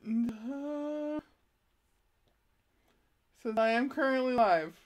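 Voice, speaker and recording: Slow-talking giggly woman live streaming. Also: high voice, darkish tone. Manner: self-deprecating, giggly, fumbling with the tech. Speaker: artist live streaming from her studio. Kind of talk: casual live stream chat. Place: art studio. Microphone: laptop, DSLR and chest-mounted webcam.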